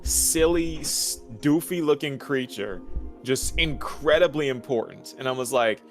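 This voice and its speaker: A man with a medium-pitched voice chats casually about gaming. He exaggerates his reactions in a comically exasperated way. There is faint music in the background. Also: a little slow. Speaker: guest co-commentator.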